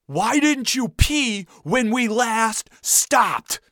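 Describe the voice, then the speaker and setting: A man's voice: mid-range voice, very animated, normal speed, no echo, studio quality. A man voicing an exasperated, easily angered father in a comic family story. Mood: furious. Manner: forceful yelling and weary muttering. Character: exasperated, furious father, easily pushed to yelling.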